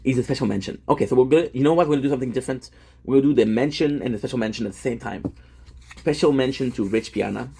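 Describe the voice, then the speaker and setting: A man's voice: mid-range voice, gravelly, darkish tone, husky. A man in his thirties, a gaming content creator, talking in a rambling, self-deprecating monologue full of fillers and restarts. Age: 30s.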